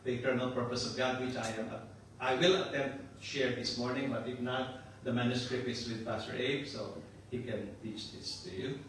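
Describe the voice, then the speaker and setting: An older man and pastor from the Philippines, with a Filipino accent touched by Australian, preaching a relaxed, chatty sermon with jokes. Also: mid-range voice, normal speed, hardly any pauses. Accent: Filipino with some Australian. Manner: joking and chatty, laughs often. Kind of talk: casual sermon. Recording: echoey far-off room mic with noise.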